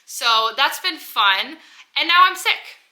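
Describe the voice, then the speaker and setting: A young woman in her twenties chats to camera in a loose, rambling vlog, her talk full of "like". Her voice is very bright and sharp, and she sounds stuffy from a head cold. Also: high-pitched, expressive, slow. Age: 20s. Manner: rambling, heavy use of "like". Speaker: vlogger. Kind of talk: casual personal vlog.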